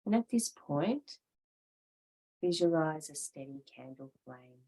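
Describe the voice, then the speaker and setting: Woman, yoga teacher, very slow pace, slightly low pitch, calm guided meditation, pauses between short phrases. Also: gravelly, slightly rough, rough recording.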